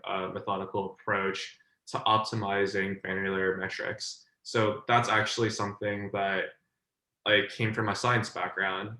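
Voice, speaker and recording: A man's voice: lowish voice, bright, forceful tone. A young man from China, a design mentor, explaining ideas in a loose, talky way with lots of fillers, on a video call. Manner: loose and talky, filler words, "like" often, checks "does that make sense". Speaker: design mentor hosting a group session. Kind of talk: casual online teaching. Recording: roomy echo.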